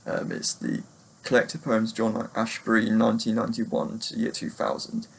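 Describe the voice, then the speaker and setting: A man giving a casual, unscripted book chat to camera in a hesitant, halting, rambling way. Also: lowish voice, monotone, vocal fry, slightly rough. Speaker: book reviewer vlogger.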